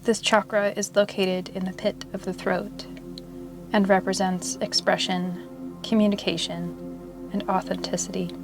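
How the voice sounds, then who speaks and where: A woman leading a guided yoga meditation in a soothing, measured way with long pauses. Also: medium pitch, flat delivery. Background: meditation music.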